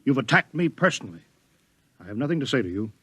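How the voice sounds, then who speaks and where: An actor playing a hostile, proud astronomer in a 1950s radio drama, curt and indignant. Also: male voice, medium pitch, big pitch swings, quick pace, fairly bright tone, slightly rough, no room sound.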